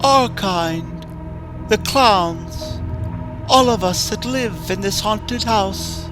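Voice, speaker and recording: Man putting on a high teasing killer clown woman. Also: extremely slow. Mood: sadistic glee. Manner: sing-song, teasing, soft menace. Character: giggling cannibal female clown, sadistic and playful. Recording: music bed under voice.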